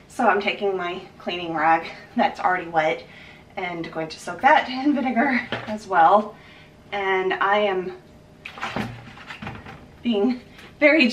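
A woman's voice: mid-range voice, fairly bright tone, recorded from a distance, a little hiss or hum. A woman in her thirties talking casually while she cleans her kitchen, thinking aloud with fillers and restarts. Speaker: cleaning vlogger. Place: home kitchen, indoors. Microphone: camera mic from a few feet away. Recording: roomy kitchen sound from off-mic.

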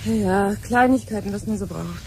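Woman acting middle-aged mother, plain-spoken, apologetic, medium pitch, 1970s radio drama, slight tape noise. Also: moderate pace, natural voice. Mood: casual. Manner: apologetic, unassuming, casual. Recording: slightly noisy old radio drama recording.

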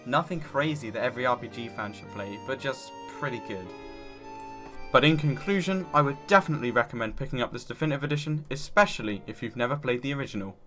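Male game reviewer, mid pitch, scripted narration, wry joking asides, light music bed. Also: quick pace, a bit bright.

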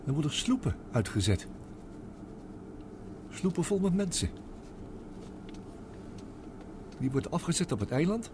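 A proud submarine inventor in an old radio serial, terse and sure of himself. His delivery swings lively in pitch, over a noisy recording with a rumble behind it. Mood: intent. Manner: terse, commanding. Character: confident male submarine inventor, brisk and proud. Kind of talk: Dutch radio drama acting.

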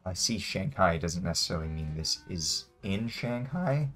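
A young man chatting casually as a guest player, thinking aloud in a low-key way as he plays a game. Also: lively intonation, moderate pace, a bit bright. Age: young adult. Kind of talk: casual chat while playing a game. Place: indoor room, sharing a webcam frame with a friend. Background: music.

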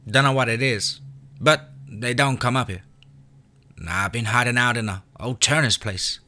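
A man's voice: medium pitch, moderate pace, bright, forceful tone, voice pushed up, natural voice. A man voicing a scrappy, hungry young boy with a drawl and defiant pride. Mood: earnest. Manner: folksy backwoods drawl, pleading to defiant.

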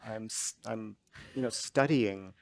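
A man in his fifties, an author on a stage panel, speaking haltingly with many restarts as he searches for words. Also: lowish voice, vocal fry, no room sound. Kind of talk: reflective panel talk. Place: large auditorium stage.

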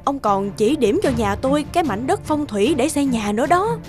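A woman's voice: high voice, lively intonation, brisk, over music. A woman voicing a hearty, insistent and grateful village housewife in a folk-tale cartoon. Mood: insistent, eager.

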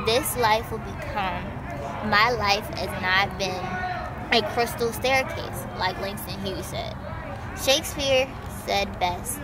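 A young woman acting a despairing student who reads a farewell letter in a solemn, halting way in an amateur film. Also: mid-range voice, close to an everyday voice. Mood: earnest, pleading. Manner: slow, halting, solemn reading. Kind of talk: scripted monologue in an amateur school film. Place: outdoor park bench by a street. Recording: noisy outdoor location sound with ambience.

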